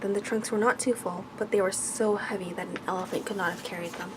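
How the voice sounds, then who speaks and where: A woman reading a bedtime story in a soft, hushed ASMR style that at times turns whispery. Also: medium pitch, fairly even intonation, fast talker, noisy background.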